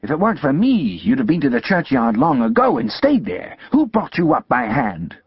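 A harsh, shrill woman who scolds without pause, her voice pushed high and loud, as a man reads her. A raspy grain stays under it. The recording is dry and muffled. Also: huge pitch swings, no room sound, heavily acted. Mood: scolding.

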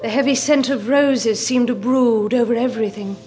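Woman narrating, measured, higher voice. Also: over music. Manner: measured, descriptive. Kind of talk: scripted narration.

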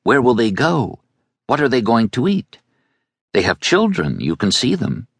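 A man voicing a hushed eleven-year-old girl who keeps asking questions. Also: mid-range voice, huge pitch swings, a little slow, husky, dry recording, voice pushed up, exaggerated voice. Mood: hushed wondering. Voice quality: soft, hushed.

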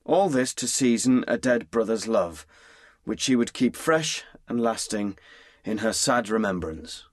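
Formal gentleman messenger, dry recording. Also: fairly low pitch, expressive, a little slow, dry recording. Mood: deferential, solemn. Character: dutiful gentleman messenger reporting to his lord. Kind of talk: Shakespearean audio drama.

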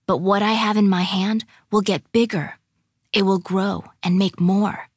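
A woman's voice: medium pitch, steady tone, measured pace, breathy edge, dry recording, clean studio sound. A woman reading a storybook, voicing a secretive, determined young girl who speaks earnestly and insistently.

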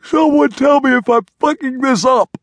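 A man voicing a rambling, absent-minded old village congregation leader in an audiobook, halting and easily sidetracked. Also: very high voice, no room sound, voice pushed up. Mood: muddled, questioning. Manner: halting, digressive, self-interrupting. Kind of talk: audiobook character voice.

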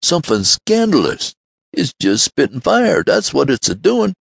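A frantic old manservant , put on by a male reader, rattles out his folksy dialect, his voice pushed well up in pitch and loud with alarm. It swings up and down wildly. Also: huge pitch swings, measured pace, creaky, slightly rough, dry recording, studio quality, heavily acted. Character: aged excited male servant, frantic.

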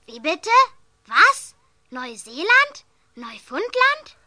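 A woman's voice: extremely high pitch, big pitch swings, drawn-out words, pushed and bright, no room sound, studio quality, full character voice. A woman playing a curious, contrary young girl in a children's audio play. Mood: excited, amazed. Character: young girl sick in bed, curious and stubborn.

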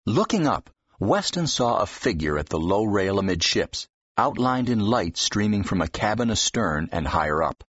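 A man narrates a novel in a low, husky voice. His reading is expressive, with wide melodic movement, and the recording is dry and a little dull. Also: normal speed, dry recording. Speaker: audiobook narrator. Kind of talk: expressive scripted fiction narration. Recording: dull, slightly muffled audio.

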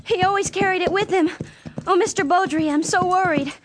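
Earnest, high-voiced young ranch woman. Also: brisk, background noise. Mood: worried. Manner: earnest, insistent. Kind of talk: Western audio drama acting.

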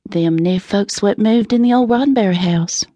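A sweet seven-year-old country girl, acted by a woman narrator, raised in pitch. She drawls softly in Southern dialect, knowing and unafraid, like a storybook child. The recording is close and dry. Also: dry recording, close to an everyday voice. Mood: knowing, eager. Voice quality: slow, soft, sweet. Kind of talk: audiobook character reading.

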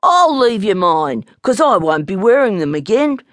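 A woman's voice: mid-range voice, sing-song, moderate pace, no room sound, clean studio sound, natural voice. A woman voicing a bold, naughty, boastful little boy koala bear in a children's storybook. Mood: proud, boastful. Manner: cocky, defiant, scornful.

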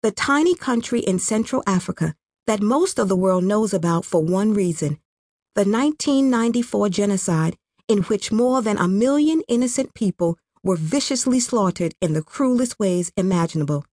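A woman from Rwanda narrates her own memoir as an audiobook. She reads from a script at a middle pitch for a woman. The sound is dry, with hardly any room around the voice. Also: expressive, moderate pace, a little mellow, no echo.

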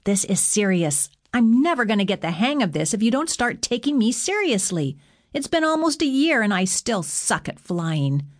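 A woman's voice: mid-range voice, expressive, normal speed, a little mellow, dry recording, clean studio sound, pitched above the performer's own voice, natural voice. A woman voicing a frustrated, emotional young goddess in an audiobook, with an emphatic, accusing way of talking. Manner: exasperated, emphatic.

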